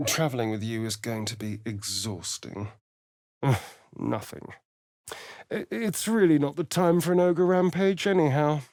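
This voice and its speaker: A man's voice: fairly high pitch, unhurried, a little mellow, no room sound, studio quality. An actor playing a suave, sardonic male vampire spawn in a video game, with an arch, drawling, theatrical manner. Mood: sardonic, exasperated. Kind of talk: video game character voice lines.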